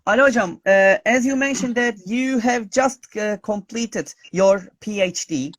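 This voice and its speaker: A man with a Turkish accent hosting an online interview, polite and soft-spoken. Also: sits high, measured pace, no echo. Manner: polite, soft-spoken, frequent laughs and thanks. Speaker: interviewer host. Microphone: video call.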